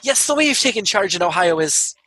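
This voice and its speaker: An eager, submissive male doctor from a bawdy parody story, read out by a man. The voice is bright and a little high, dry and close. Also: lively intonation, a little fast, dry recording, exaggerated voice, low-quality recording. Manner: breathy gulping eagerness.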